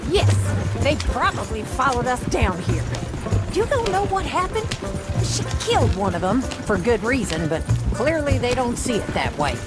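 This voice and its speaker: A fierce middle-aged outlaw woman in a western game. Her voice is high and swings widely as she gives brisk, folksy orders, protective and ruthless, over prominent music. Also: sing-song. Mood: grim, urgent. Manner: brisk, commanding, folksy old-fashioned phrasing. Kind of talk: dramatic video game acting.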